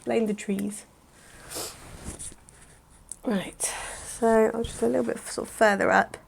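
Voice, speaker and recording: A woman, chatting casually through a hair-care vlog, a little croaky, rambling with lots of "sort of". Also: a little high, flat delivery, unhurried, slight background noise. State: slightly croaky voice. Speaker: hair vlogger.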